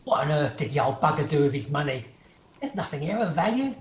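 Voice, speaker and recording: A man's voice: on the high side, very animated, fast talker. A man playing an uncouth, smug, scheming thief in an amateur radio play. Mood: scheming, vindictive. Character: uncouth, unkempt, scheming thieving husband. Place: at home, recorded over video call. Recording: echoey room, faint noise, video call.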